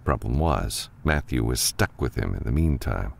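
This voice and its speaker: A man's voice: rumbling low, animated, moderate pace, gravelly. A man reading scripted fiction as an audiobook narrator.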